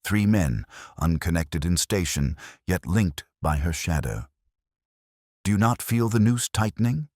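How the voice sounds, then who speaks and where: A man voicing a keen, commanding gentleman detective in an audiobook, grave and intense, with formal, deliberate phrasing. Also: deep voice, expressive, dry recording, clean studio sound. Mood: brooding, urgent. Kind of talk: audiobook character dialogue.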